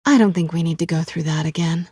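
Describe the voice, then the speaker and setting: A woman's voice: low voice, fast talker, darkish tone, husky, no room sound, studio quality. A woman voicing a sly, self-assured cat burglar in a video game, with a sultry, wry, coolly teasing way of talking. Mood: guarded, defiant. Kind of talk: video game voice acting, dramatic dialogue.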